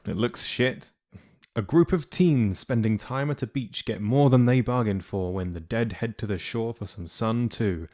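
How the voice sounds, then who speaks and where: A man's voice: medium pitch, normal speed, a little mellow, lightly acted. A man playing the blunt, sweary leader of a band of zombie survivors in an audio drama. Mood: dismissive.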